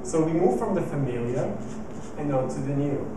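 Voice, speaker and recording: A man with a Swiss accent speaks in a measured, storytelling manner on a conference stage. His voice sits a little high for a man. The sound arrives from a distance through a very echoey hall with a steady noisy backdrop. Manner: measured, clear storytelling delivery. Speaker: magician giving a stage presentation. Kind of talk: rehearsed stage talk. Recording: echoey hall recorded from far off with noise.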